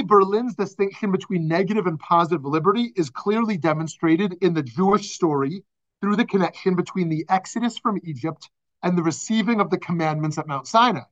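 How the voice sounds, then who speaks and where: Rabbi lecturing over a muffled call. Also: male voice, high-pitched, a bit bright. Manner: conversational, asks the class questions, laughs often. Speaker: rabbi teaching a class. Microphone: video call. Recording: dull lo-fi video call audio.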